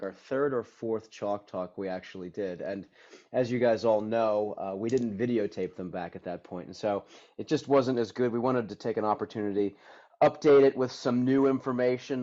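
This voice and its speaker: A man presenting a technical webinar, hoarse and tired, talking energetically and casually with many fillers. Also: fairly low pitch, warm tone, dull audio. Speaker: product development director presenting a webinar.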